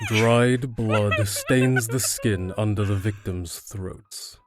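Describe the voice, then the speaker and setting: A man's voice: fairly low pitch, slow pace, over music. A man reading scripted narration for an audio drama.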